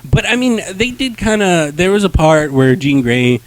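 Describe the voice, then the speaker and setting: A man's voice: a little high, very animated, normal speed. Man hosting casual chat over noisy recording. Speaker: podcast host reviewing comics. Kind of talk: casual group chat review, swearing.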